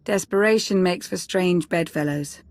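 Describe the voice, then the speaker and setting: A woman playing a calm, wry advisor in a video game, with a dryly exasperated manner. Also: lowish voice, normal speed, no echo, lightly acted.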